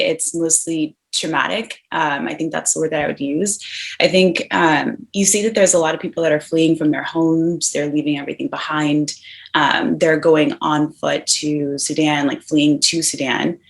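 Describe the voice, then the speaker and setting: A woman's voice: low-pitched, a bit bright. A woman speaking as a guest in a panel discussion, answering thoughtfully with many hesitations and fillers.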